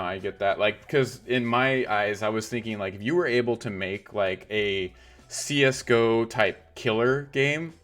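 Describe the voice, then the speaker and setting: Male host, lowish voice, rambling casual delivery, faint music bed. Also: a bit bright. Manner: rambling, heavy use of "like". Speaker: livestream interview host. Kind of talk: casual livestream interview.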